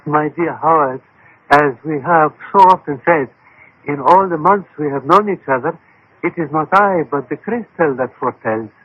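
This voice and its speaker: Casting: wily mystic seer, lighter high male voice, portentous radio-play delivery, phone-like sound. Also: slightly rough. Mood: solemn, portentous. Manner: solemn, formal, measured phrasing. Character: solemn, wily Indian mystic crystal-gazer. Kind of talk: radio drama acting. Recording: tinny, phone-like old radio broadcast.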